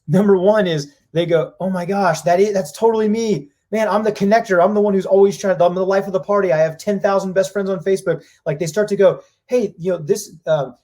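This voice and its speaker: Brief: husky male exec, rapid energetic delivery, voices people's reactions. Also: sits high. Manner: fast, energetic, acts out what people say. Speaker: company president. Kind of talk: business live-stream discussion.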